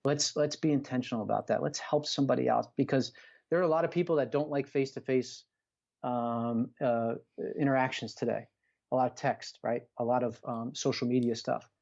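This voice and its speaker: A man's voice: mid-range voice, steady tone, fairly quick, vocal fry, darkish tone, highs cut off. A middle-aged man talking as a podcast guest and coach in an earnest, emphatic, conversational motivational style, repeating phrases and asking rhetorical questions.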